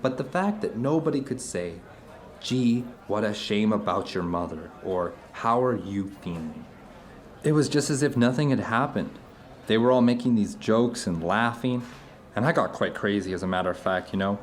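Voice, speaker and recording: An actor playing an intense, animated friend at dinner, telling stories in an emphatic, rambling, philosophical way in an audio drama. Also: male voice, fairly low pitch, normal speed, a little hiss or hum, natural voice. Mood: wounded reflection. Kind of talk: conversational audio drama dialogue.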